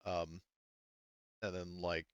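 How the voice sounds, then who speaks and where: A man running a tabletop roleplaying game as game master, improvising scenes and rules in a halting, stop-and-start way. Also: low-pitched, completely flat delivery, smooth, no echo, highs cut off.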